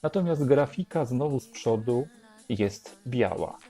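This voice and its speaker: A man narrating an unhurried show-and-tell as host, in a measured, explanatory way. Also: medium pitch, expressive, dark tone, breathy edge, soft background music. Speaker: host presenting a record collection.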